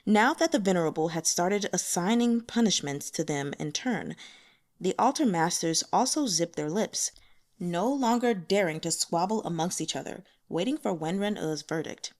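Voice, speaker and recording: A woman's voice: lowish voice, expressive, normal speed, darkish tone. A woman reading scripted fantasy fiction as an audiobook narrator.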